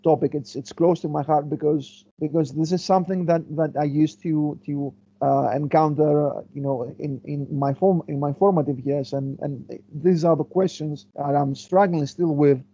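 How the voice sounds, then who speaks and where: Man, dark dull-sounding voice. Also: fairly high pitch, fairly even intonation, moderate pace, few pauses, slightly rough. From Greece. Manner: thoughtful, many restarts and fillers. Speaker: guest historian. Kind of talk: interview answers.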